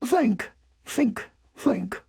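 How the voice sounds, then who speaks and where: A woman voicing a gentle, naive teddy bear who muses slowly in a children's storybook. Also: on the high side, animated, gravelly, breathy edge, no room sound, clean studio sound, full character voice. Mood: musing. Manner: slow, musing, naive. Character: gentle, simple, kindly male teddy bear. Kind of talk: children's storybook character acting.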